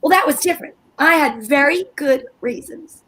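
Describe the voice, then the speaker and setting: A woman's voice: high voice, normal speed, fairly bright tone. A woman playing an anxious, pushy housewife in old time radio comedy style, insistent and impatient. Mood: fretful, indignant.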